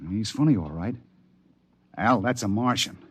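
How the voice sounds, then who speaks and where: A man's voice: fairly low pitch, moderate pace, fairly bright tone. An actor playing a blunt, bigoted middle-aged homesteader father on Mars in an old-time radio drama, with folksy colloquial speech. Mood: wary. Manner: blunt, dismissive. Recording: vintage radio drama broadcast.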